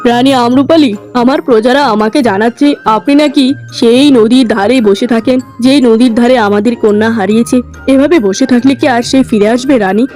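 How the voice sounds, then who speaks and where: A woman's voice: on the high side, a little fast, darkish tone, close to an everyday voice. A woman voicing a concerned, courteous fairy-tale king in a children's cartoon, speaking formally. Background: music.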